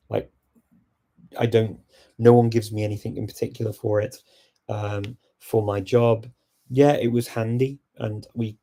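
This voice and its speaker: Male host, husky and mellow, relaxed chat-style advice to viewers. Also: on the low side. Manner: relaxed, reflective advice-giving. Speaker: host answering viewer questions. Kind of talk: casual live Q&A chat.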